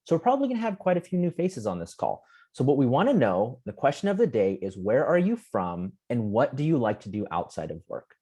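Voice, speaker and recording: A man's voice: medium pitch, big pitch swings, fairly quick, warm tone, slightly rough. A man hosting an online panel in a casual, chummy way, putting questions to guests. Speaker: host of an online community panel call.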